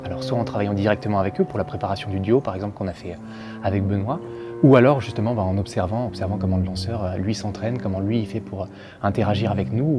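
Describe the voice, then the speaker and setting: A man, a musician, explaining his experience of an artists' residency in an interview, talking fluently. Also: lowish voice.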